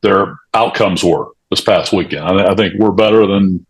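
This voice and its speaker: A man on a sports talk show, giving unscripted analysis in a halting, conversational way. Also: low voice, slightly rough. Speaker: sports radio show panelist.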